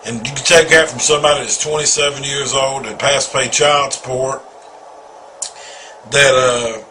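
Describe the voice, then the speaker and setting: A man's voice: mid-range voice, steady tone, moderate pace, bright, forceful tone, slight background noise, poor audio. A man in his forties giving an earnest, rambling personal testimony, often repeating himself. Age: 40s. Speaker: video testimonial speaker.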